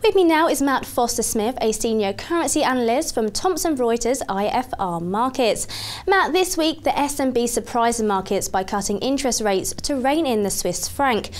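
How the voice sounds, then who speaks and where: A woman's voice: on the high side, expressive, moderate pace, hardly any pauses. A woman presenting financial news and putting questions to a guest in a scripted, formal newsreader manner.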